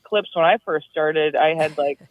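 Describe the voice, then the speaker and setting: A woman's voice: low voice, normal speed, dry recording. A woman who works as a news reporter and grew up in Minnesota, a guest on a casual talk show. She is chatty, with lots of fillers and self-deprecating asides. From Minnesota, USA. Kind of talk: casual chat. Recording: tinny, dry phone line.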